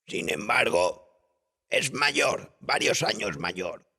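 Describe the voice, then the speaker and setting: A man voicing a village innkeeper in an audiobook tale, plain-spoken and measured as he passes on local news. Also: medium pitch, big pitch swings, creaky, sharp tone, husky, voice pushed up, exaggerated voice. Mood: considering.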